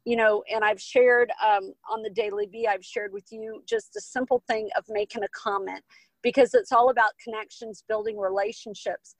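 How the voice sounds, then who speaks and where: A woman's voice: fairly high pitch, lively intonation, moderate pace, dry recording, poor audio. A woman from Texas and Hawaii with an American accent, answering interview questions as a podcast guest in an earnest, emphatic, conversational way. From Texas and Hawaii, United States. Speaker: podcast guest, business coach and author.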